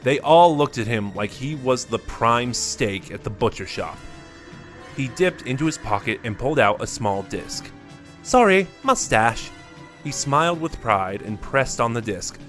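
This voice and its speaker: A man narrating a scripted story in a measured, steady reading. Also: mid-range voice, soft background music.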